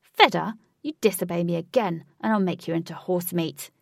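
A woman plays a cocky, muscular young man in a storybook, used to getting his way. His delivery is low and insistent, snapping with entitlement, with a creaky edge in a dry, close sound. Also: animated, moderate pace, fairly bright tone, dry recording, recorded from a distance. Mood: angry, boastful. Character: arrogant muscular young male athlete, angry, entitled, pushy.

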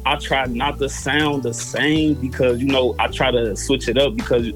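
A young man with a Chicago accent, speaking at a middling pitch in an easygoing, halting way. He falls back on casual slang and trails off mid-thought. Faint music plays under his voice. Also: steady tone. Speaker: rapper guest.

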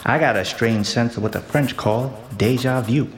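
An actor playing an earnest, bumbling, easily flustered detective's sidekick in a noir radio comedy. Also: male voice, medium pitch, normal speed, a little hiss or hum, lightly acted. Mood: brooding.

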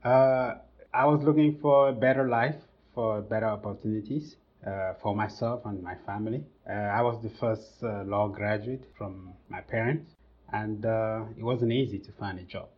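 A young Cameroonian-accented man with a fairly low, husky voice tells his story as a podcast guest. He talks in a measured way and pauses often on "uh". The recording sounds dull and lo-fi. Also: fairly even intonation. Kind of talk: casual interview storytelling.

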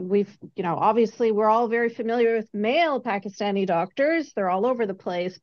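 A woman hosting a podcast interview, chatty and curious, asking long winding questions. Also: mid-range voice. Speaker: podcast host. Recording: dull lo-fi audio.

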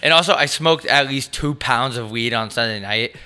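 Raspy young man, crude banter. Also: medium pitch, moderate pace, sharp tone. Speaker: podcast co-host. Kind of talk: casual chat.

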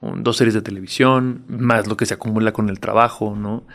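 A man's voice: lowish voice, fairly quick, gravelly. Laid-back Mexican man, frank and slangy. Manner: relaxed, frank, slangy, with frequent "¿no?" tags. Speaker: actor and guest. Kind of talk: casual interview chat.

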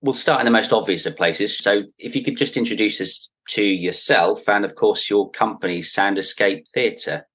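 A man with a distinctly low-pitched voice hosts a podcast. He introduces and questions in a conversational interview manner, as one person chatting with another. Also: moderate pace, few pauses, fairly bright tone, breathy edge.

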